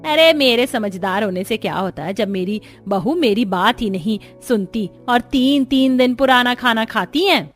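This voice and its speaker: A woman's voice: on the high side, lively intonation, moderate pace, hardly any pauses. A woman playing a scolding, exasperated middle-aged mother-in-law in a cartoon story. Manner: nagging, complaining. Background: background music.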